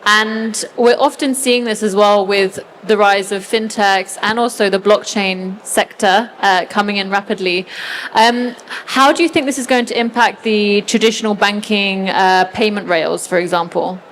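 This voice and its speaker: A woman's voice: medium pitch, fairly even intonation, moderate pace, a bit bright, slight background noise, low-quality recording. A woman moderating a conference panel, asking polite questions with brief affirmations. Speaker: debate moderator. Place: conference stage.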